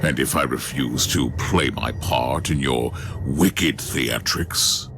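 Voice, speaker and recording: An actor playing a stern, suspicious, commanding inquisitor in a sci-fi video game, speaking formally and curtly. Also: male voice, a bass voice, slow, music in the background, heavily acted. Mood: impatient. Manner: formal, curt, demanding. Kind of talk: dramatic video game dialogue.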